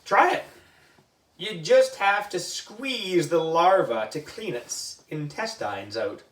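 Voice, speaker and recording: A man voicing an eccentric, unflappable male science teacher who explains things calmly in a storybook. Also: a little high, slow, echoey room, far from the mic, voice pushed up, heavily acted. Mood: encouraging.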